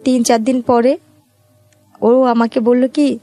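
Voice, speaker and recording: A woman's voice: on the high side, level tone, slow, mellow, no room sound, soft background music. A woman with a Bangladeshi accent telling her own life story as an interview guest, in colloquial, conversational speech.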